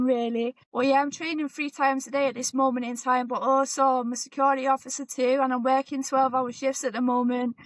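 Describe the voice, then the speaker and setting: A woman in her forties, a boxer talking as a guest in a relaxed, chatty way and often repeating herself. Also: high-pitched, no room sound. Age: 40s. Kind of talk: casual interview chat.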